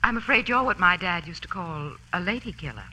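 Bright, coy rich divorcee. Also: female voice, lowish voice, lively intonation, fairly quick. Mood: coy, flirtatious. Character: rich, flirtatious, guarded divorcee. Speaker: radio actress. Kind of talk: old-time radio drama acting. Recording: noisy old radio recording.

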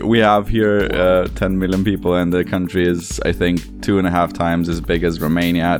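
Hesitant, fairly low-voiced man. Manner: hesitant, restarts a lot, laughs easily. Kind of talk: casual chat.

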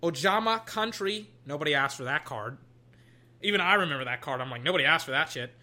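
A young adult, slightly hoarse, chatting casually while opening card packs at a desk, swinging between loud hype and low muttering and swearing freely. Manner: swears casually, trails off and restarts. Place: at a desk indoors.

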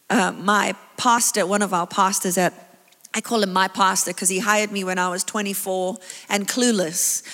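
A South African woman in her thirties preaching a sermon, chatty and animated, with lots of exclamations and asides. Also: medium pitch. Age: 30s. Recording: long reverb of a large hall.

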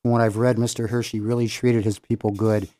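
A man's voice: on the low side, flat delivery, fast talker, warm tone. An older man co-hosting a casual podcast, easygoing as he reminisces. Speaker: podcast co-host.

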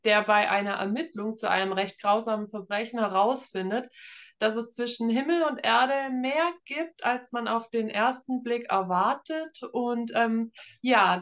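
A woman's voice: a little high, a bit bright. A woman of about thirty from northern Germany, speaking casually and explaining things as an author being interviewed. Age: around 30. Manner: informal, explanatory.

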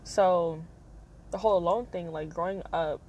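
A young woman hosting her own podcast, talking casually in a monotone, rambling manner with lots of fillers. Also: moderate pace, slight background noise, low-quality recording. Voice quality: monotone, low-key delivery. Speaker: podcast host. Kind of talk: casual personal monologue.

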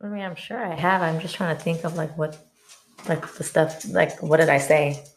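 A woman co-hosting a podcast, telling relaxed stories with many fillers. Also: deep voice, moderate pace, slight background noise. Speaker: podcast co-host. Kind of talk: casual chat.

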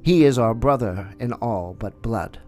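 A man's voice: medium pitch, measured pace, a little mellow, lightly acted. A man voicing an old, weary male wolf who leads the pack, speaking with solemn dignity over faint music. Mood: resigned.

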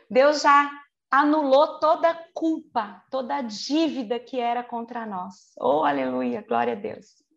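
A woman in her forties with a Brazilian Portuguese accent gives a conversational sermon, stretching key words for emphasis between quick chatty asides and checking in with her listeners through rhetorical questions. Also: on the high side, vocal fry, muffled recording. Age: 40s. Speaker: preacher.

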